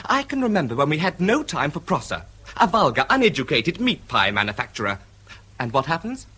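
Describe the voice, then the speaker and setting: An actor playing a resentful, class-conscious young son in a radio drama, with an English accent and a complaining, sarcastic manner. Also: male voice, a little high, huge pitch swings, fairly quick, bright, forceful tone, breathy edge, exaggerated voice. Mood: bitter, aggrieved.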